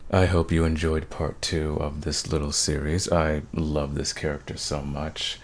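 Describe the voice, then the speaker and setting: Deep-voiced man, casual creator outro. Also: level tone, measured pace. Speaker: audio roleplay creator, host.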